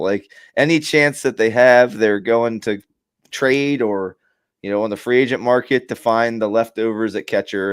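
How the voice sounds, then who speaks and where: A man's voice: mid-range voice, no room sound. A man co-hosting a casual sports podcast, talking in a rambling way with restarts. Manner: rambling, many restarts and fillers. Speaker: podcast co-host. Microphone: remote streaming mic.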